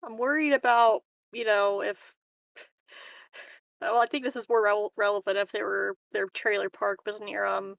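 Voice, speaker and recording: A woman's voice: mid-range voice, fairly even intonation, moderate pace, vocal fry, a bit bright, no echo. A woman playing a tabletop roleplaying game, improvising conversation in a hesitant, politely wry manner.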